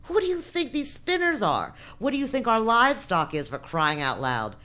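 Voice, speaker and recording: A woman playing a tough, shrewd, impatient boss with shady deals in a science fiction radio drama, forceful and sardonic. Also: on the high side, big pitch swings, moderate pace. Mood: scornful, insistent.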